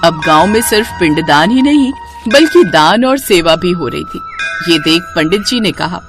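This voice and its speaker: Expressive woman narrator with music behind. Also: lowish voice, moderate pace. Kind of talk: scripted devotional story narration.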